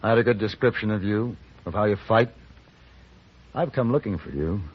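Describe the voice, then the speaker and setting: A shrewd Western gunfighter, speaks in a low, husky American voice, measured but widely inflected. It is dry, commanding radio drama on a muffled old recording. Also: male voice, expressive. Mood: calm, dry. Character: calm, shrewd, goading middle-aged Western gunfighter for hire. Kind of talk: 1950s radio western acting.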